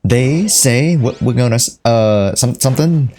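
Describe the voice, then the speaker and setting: A woman's voice: extremely low pitch, lively intonation, a little slow, over music. A woman streaming a video game, giving casual, playful and offhand commentary as she reacts to the dialogue. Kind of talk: casual live playthrough commentary.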